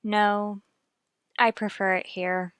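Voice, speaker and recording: A woman's voice: medium pitch, level tone, slow pace, a bit bright, clean tone, dry recording, studio quality. An actress playing a hero's worried, devoted female companion in a radio drama, earnest and pleading. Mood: worried, serious. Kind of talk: old-time radio drama acting.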